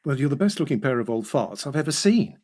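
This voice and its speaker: A cheeky, bouncy young student charmer. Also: male voice, medium pitch, sing-song, fast talker, a little mellow. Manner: breezy, playful, eager. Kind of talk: audio drama acting.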